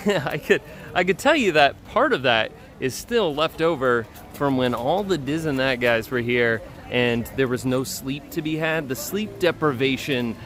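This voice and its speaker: A man with a slightly hoarse voice chats casually to viewers and friends as he hosts a live stream. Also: mid-range voice, lively intonation, a little slow, a bit bright, faint music behind. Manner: chatty, addresses viewers and friends. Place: outdoors at a busy theme park.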